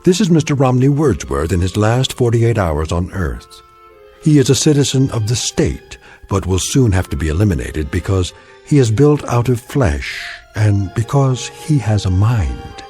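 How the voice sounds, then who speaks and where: A man narrates a radio drama in a grave, measured way, his low voice rising and falling. Quiet music plays behind him. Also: very animated.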